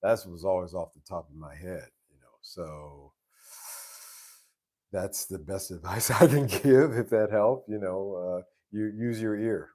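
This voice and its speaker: A man with a very low voice hosting a casual live stream, answering viewer questions in an easygoing, rambling way with many restarts. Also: unhurried, dark tone. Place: live stream from home base abroad.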